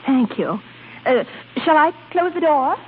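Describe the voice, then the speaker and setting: A woman's voice: sits high, sing-song, measured pace, slight background noise. A woman playing a coy, flirtatious opera prima donna in a 1930s radio drama, hesitant and fluttery. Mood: flustered, coy. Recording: tinny, band-limited 1930s radio broadcast with music.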